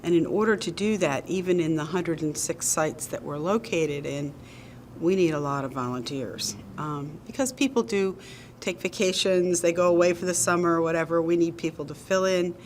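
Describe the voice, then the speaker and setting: A woman being interviewed as a nonprofit's executive director. She explains her program in a measured, earnest way, with an occasional um or uh. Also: fairly low pitch, a little hiss or hum.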